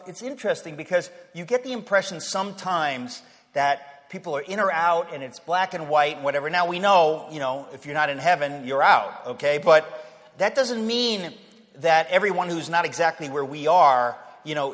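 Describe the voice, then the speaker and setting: A man's voice: on the high side, a bit bright. Joking, restarting man in a reverberant hall. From Queens, New York. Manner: conversational and humorous, with stammered restarts and rising emphasis. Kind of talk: casual personal talk.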